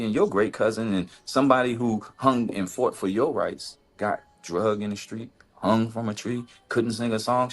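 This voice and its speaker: A man's voice: fairly bright tone, husky. A man co-hosting a podcast, talking in an impassioned, casual way and firing off rhetorical questions. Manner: impassioned, rhetorical questions, swings to high exclamations. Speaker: podcast co-host. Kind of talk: casual opinion talk.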